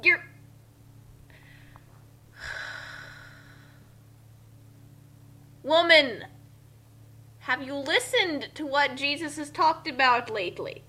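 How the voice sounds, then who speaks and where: A woman comments casually in a high, forceful voice. She sounds exasperated, exclaiming and halting as she searches for words. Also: animated. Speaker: co-reader voicing characters and commenting.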